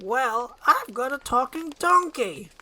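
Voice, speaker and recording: A man's voice: big pitch swings, slow, sharp tone, exaggerated voice. A man voicing a flustered old peasant woman who fusses and pleads in a storybook reading. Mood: nervous, coaxing. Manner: high-pitched, fussy pleading.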